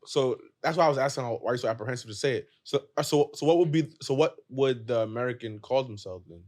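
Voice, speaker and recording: A man's voice: mid-range voice, fast, darkish tone. A young man co-hosting a casual podcast who keeps interrupting to press his question. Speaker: podcast co-host.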